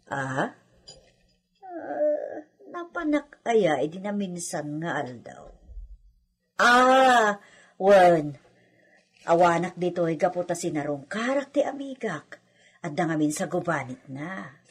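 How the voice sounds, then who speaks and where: Wealthy gracious mother for radio drama, played by a woman: low, husky, lively swings in pitch. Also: slow pace, pauses often, full character voice. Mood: surprised. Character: wealthy, gracious mother who shuns formal titles. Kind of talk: radio drama acting in dialogue.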